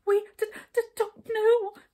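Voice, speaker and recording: Woman putting on a high, plaintive little brownie. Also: expressive, moderate pace. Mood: wailing dismay. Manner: plaintive, wailing, high-pitched pleading. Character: small naughty male brownie, timid and tearful. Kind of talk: children's storybook character voice.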